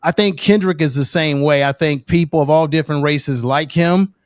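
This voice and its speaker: A man's voice: fairly high pitch, moderate pace, no echo. A man in his fifties making emphatic points in a casual panel discussion over a video call. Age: 50s. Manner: emphatic, preachy, building points. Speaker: co-host.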